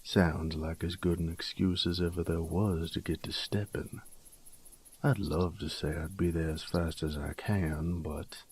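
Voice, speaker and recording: A man playing a gruff, sardonic, rustic bandit turned knight in an audio drama, with a low gravelly voice and a folksy, crude drawl. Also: fairly even intonation, unhurried, darkish tone. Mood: wry.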